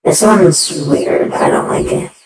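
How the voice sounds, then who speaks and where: A gaming video creator chatting casually and haltingly while demonstrating a voice changer that alters the voice with different effects. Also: a bass voice, wildly swooping pitch, vocal fry, darkish tone, a little hiss or hum. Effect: voice changer with shifting effects.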